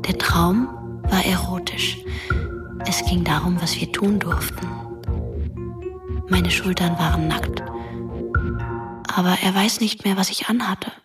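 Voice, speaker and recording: A ghostly teenage girl narrating a radio drama. She speaks softly and deliberately, with breathy intimacy. Music runs underneath her voice. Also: lowish voice, steady tone. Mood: hushed, brooding.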